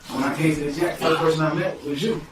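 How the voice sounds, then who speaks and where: A man with a Detroit accent, a guest rapper telling stories in slangy, animated casual podcast talk. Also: on the high side, very fast, reverberant, recorded from a distance, heavy background noise. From Detroit, USA.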